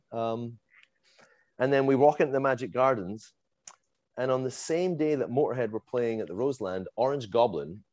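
A man with a Scottish accent, casually telling a lively story as a guest and laughing and swearing along the way. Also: medium pitch, no room sound, muffled recording. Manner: animated, swears, laughs while telling, acts out quotes.

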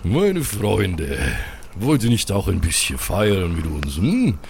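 A man's voice: deep voice, wildly swooping pitch, takes it slowly, vocal fry, darkish tone, slight background noise, heavily acted. A man voicing a big, burly, jovial festival-goer who coaxes his friends in a chummy way. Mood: jovial, coaxing. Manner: coaxing, chummy, tags sentences with questioning hm. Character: big burly jovial festival drinking buddy, hearty male.

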